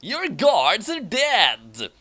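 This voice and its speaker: A man's voice: sits high, huge pitch swings, drawn-out words, sharp tone, voice pushed up, heavily acted. A man voicing a gleeful, boastful gun-toting villain in a comic story reading. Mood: gloating, boastful.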